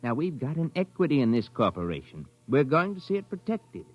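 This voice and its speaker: Blunt businessman, animated pitch. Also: brisk, soft background music. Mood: stern. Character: hard-nosed, blunt business associate, firm. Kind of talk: 1950s radio acting. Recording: dull 1950s radio recording.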